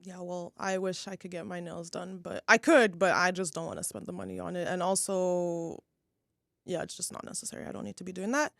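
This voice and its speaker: A woman's voice: on the low side, steady tone, a little fast, vocal fry, fairly bright tone, no echo, clean studio sound. A young adult woman co-hosting a casual podcast, chatting with lots of filler words. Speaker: podcast co-host. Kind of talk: casual chat.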